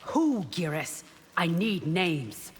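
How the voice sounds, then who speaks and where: An actress playing a proud, loyal and devout royal bodyguard in a video game, composed, formal and stern. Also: female voice, fairly low pitch, sing-song, slow. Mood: composed, firm. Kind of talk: video game character acting. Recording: long reverb with faint noise.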